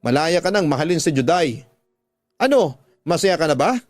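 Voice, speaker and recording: A man's voice: on the high side, sing-song, moderate pace, no echo. A man playing a heartbroken, bitter jilted lover in a radio drama, speaking with reproachful emphasis over music. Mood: bitter, emphatic. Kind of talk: Filipino radio drama acting.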